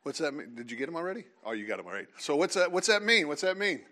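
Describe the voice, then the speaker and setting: A man leading a seminar before a live audience, talking in an animated, teasing way and calling on listeners. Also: on the high side, rapid-fire. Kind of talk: lively creationist talk with audience.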